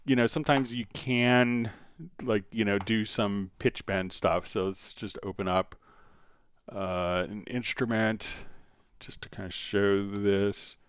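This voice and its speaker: A man hosting a casual live music software tutorial, answering viewer questions in a halting, thinking-aloud way. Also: on the low side, fairly even intonation, clear, resonant tone, no echo.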